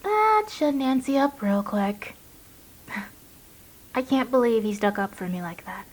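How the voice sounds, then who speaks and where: A woman playing a gentle, earnest young waitress, confiding hesitantly in an audio drama monologue. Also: a little high, lively intonation, a little slow. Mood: relieved, adoring.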